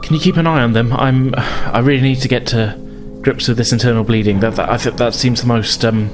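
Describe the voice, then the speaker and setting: A man's voice: mid-range voice, steady tone, a little fast, music in the background, voice pushed up, natural voice. A man playing an anxious, hesitant young ensign medic in a tabletop game, stammering and unsure. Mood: anxious, focused.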